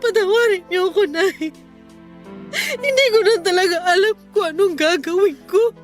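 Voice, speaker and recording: Very high, pleading young Filipina. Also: female voice, normal speed, faint music behind. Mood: tearful, pleading. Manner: pleading, plaintive. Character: desperate, ashamed young mother pleading with her own mother. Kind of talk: Filipino radio drama acting.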